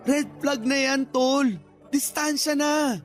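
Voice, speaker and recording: A man's voice: very high voice, fairly even intonation, takes it slowly, soft background music. A man with a Filipino accent playing a blunt, protective friend in a radio drama, talking frankly and in slangy, scolding advice. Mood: exasperated, warning. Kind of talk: radio drama acting in casual dialogue.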